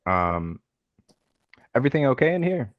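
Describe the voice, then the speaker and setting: A man's voice: medium pitch, very animated, moderate pace, no room sound, dull audio, natural voice. A man playing a sardonic, dramatic western outlaw in an amateur table read. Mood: concerned. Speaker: actor and organiser of a table read.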